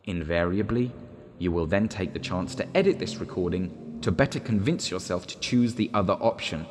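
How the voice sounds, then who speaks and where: An actor playing a disembodied recorded guide in a one-act drama, speaking in a measured, deliberate, persuasive way. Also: male voice, sits low, slight background noise, natural voice. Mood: calm, formal. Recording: long hall reverb, loud music behind.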